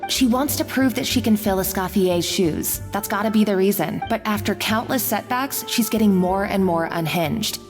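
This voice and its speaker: A woman's voice: medium pitch, moderate pace, hardly any pauses, long reverb tail, over music, lightly acted. A woman voicing an anxious, apologetic young kitchen worker in a video game, earnest and hesitant in her delivery. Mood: earnest, explanatory.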